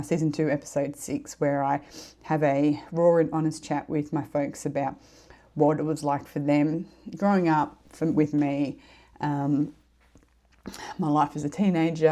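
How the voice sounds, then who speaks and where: A middle-aged woman with a head cold, hosting her own podcast in a casual, earnest, rambling way. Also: low-pitched. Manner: warm, earnest, rambling with fillers. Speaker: podcast host. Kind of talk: casual solo podcast chat.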